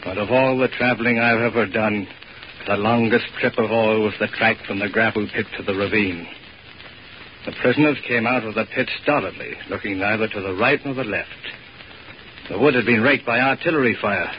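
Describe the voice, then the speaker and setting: An actor playing a weary soldier narrating in a somber, measured way, in an old radio drama. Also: male voice, lowish voice, a little hiss or hum, natural voice. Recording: dull lo-fi old radio recording.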